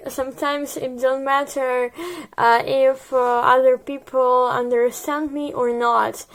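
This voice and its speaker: A young woman from Ukraine with a Ukrainian accent, whose first language is Russian, talking in a hesitant video diary and often stopping to search for words. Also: sits high. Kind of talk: casual personal video diary.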